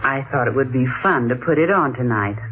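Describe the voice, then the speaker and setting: A woman's voice: a bass voice, animated, fairly quick, noisy background. An actress playing a strange, wistful woman in a 1940s radio drama, speaking in a refined, dramatic way. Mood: polite, evasive. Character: strange, wistful, attractive American woman with secrets. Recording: tinny vintage 1940s radio recording.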